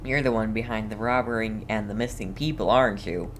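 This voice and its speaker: A woman's voice: extremely low pitch, brisk. A woman streaming a game casually, reading dialogue aloud and reacting in a playful, wry way. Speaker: gaming streamer.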